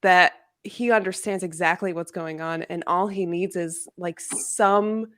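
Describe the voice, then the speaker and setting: A woman in a casual book discussion, speaking in an informal, exclamatory and animated way. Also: fairly low pitch, measured pace. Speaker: guest discussing a novel in a readalong livestream.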